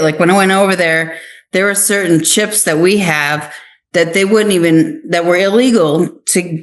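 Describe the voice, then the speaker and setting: An American woman giving conversational interview answers in an earnest, emphatic manner. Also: lowish voice. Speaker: interview guest, actress and author.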